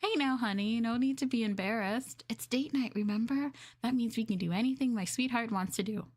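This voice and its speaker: A woman's voice: on the high side, a little fast, darkish tone, pitched above the performer's own voice, natural voice. A woman voicing an affectionate, playful slime girl girlfriend in an intimate, teasing ASMR roleplay. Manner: intimate, doting, coy. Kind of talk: ASMR roleplay script reading.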